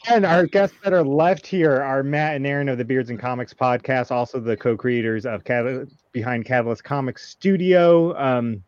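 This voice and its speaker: A man in his thirties hosting a casual group podcast, laid-back and rambling with many pauses and ums. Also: mid-range voice, darkish tone, no echo, muffled recording. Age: 30s. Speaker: podcast host.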